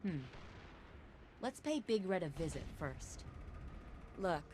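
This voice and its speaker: An actress playing a brisk, wry tech operative in a video game, talking in a matter-of-fact, conversational way. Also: female voice, fairly low pitch, sing-song, darkish tone, a little hiss or hum. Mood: wry, determined. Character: brisk, wry female sci-fi operative and technician. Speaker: tech specialist ally character.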